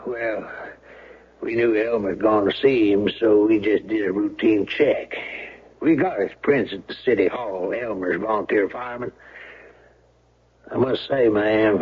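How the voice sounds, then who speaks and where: An actor playing a plain-spoken, courteous small-town sheriff in a radio drama, with a husky voice and folksy, deliberate talk. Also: male voice, on the low side. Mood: firm, courteous. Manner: folksy grammar, deliberate, deferential with "ma'am". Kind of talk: 1970s radio drama acting. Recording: muffled old radio broadcast recording.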